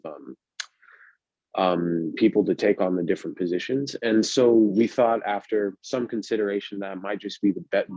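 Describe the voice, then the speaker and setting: Young man, late teens, very deep voice, hesitant, many ums, dry muffled mic. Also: a little mellow, no echo. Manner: hesitant, many ums and restarts, laughs lightly. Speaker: student set designer. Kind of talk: casual discussion on a video call.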